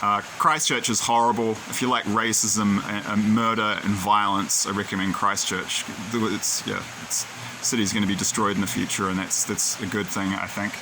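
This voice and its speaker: Low-voiced New man rambling. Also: little pitch movement, moderate pace, background noise. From New Zealand. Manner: rambling, digressive, opinionated. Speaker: video creator. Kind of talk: casual talk to camera. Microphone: camera he films himself with.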